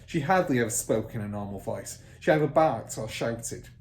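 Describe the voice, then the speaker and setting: A man reads a children's storybook aloud as a teacher would. His narration is expressive, with a very lively, mid-pitched voice. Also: moderate pace, roomy sound, slight background noise.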